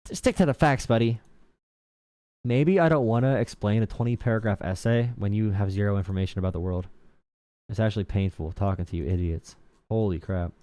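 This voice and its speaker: A young man hosting a casual political livestream with callers, slangy and quick to mock his chat. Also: fairly low pitch, warm tone, dry recording. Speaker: livestream host.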